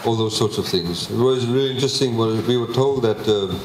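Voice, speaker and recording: A man, presenting a budget to a public meeting in a measured way, with many pauses and fillers. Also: medium pitch, a little hiss or hum. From Fiji. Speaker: government minister presenting a budget. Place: public consultation meeting hall. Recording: echoey hall, faint ambience.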